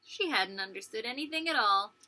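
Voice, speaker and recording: A woman preschool teacher reading a story aloud to young children, expressive and pausing to ask them questions. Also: on the high side, a little hiss or hum. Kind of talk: animated children's read-aloud with questions to listeners.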